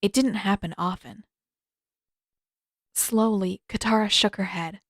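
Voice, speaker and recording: Woman reading a fanfic chapter out loud, mid pitch, really dry recording. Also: steady tone, measured pace, dry recording. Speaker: podfic narrator and author. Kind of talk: scripted fiction narration.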